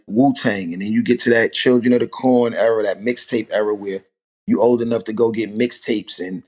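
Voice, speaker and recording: A man, a rapper telling stories as a podcast guest in a relaxed, animated way. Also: mid-range voice. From Harlem, New York. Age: 40s. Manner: animated storytelling, rhetorical asides. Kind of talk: casual podcast conversation.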